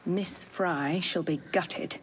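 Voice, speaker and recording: A cold female villain, speaks in a fairly low voice at a measured pace. She is imperious and ruthless, full of game-drama menace, with slight noise in the recording. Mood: cold, threatening. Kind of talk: dramatic video game acting. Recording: faint background noise.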